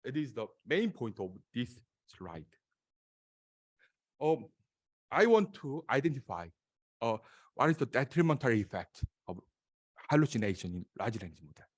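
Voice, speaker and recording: Korean-accented guy presenting slides in an auditorium, lots of uh and restarts, pitch jumps around a lot. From South Korea. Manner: halting, many filler sounds and restarts. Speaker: conference speaker. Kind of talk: conference talk presenting slides.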